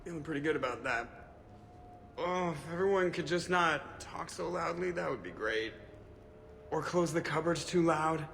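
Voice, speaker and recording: An actor playing a wry young crew member in a video game, rambling and self-deprecating. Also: male voice, fairly high pitch, normal speed, creaky, a bit bright, in a large hall, a little hiss or hum. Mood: queasy, pained.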